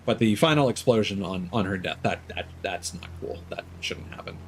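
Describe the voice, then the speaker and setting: A man's voice: lowish voice, lively intonation, normal speed, slight background noise. A man streaming a video game, reacting casually and swearing now and then, with many fillers and restarts. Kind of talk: live gameplay commentary.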